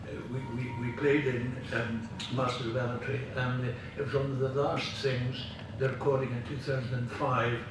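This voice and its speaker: An older man with a Scottish accent, a veteran actor giving a halting, rambling after-dinner vote of thanks with theatrical emphasis. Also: mid-range voice. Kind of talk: after-dinner speech with anecdotes. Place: large room at a club luncheon. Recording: very echoey room from far off, noisy.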